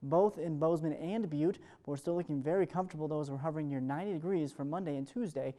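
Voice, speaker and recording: A man's voice: on the high side, quick pace, warm tone. A man presenting a live morning weather forecast as a television meteorologist, in upbeat, ad-libbed broadcast patter.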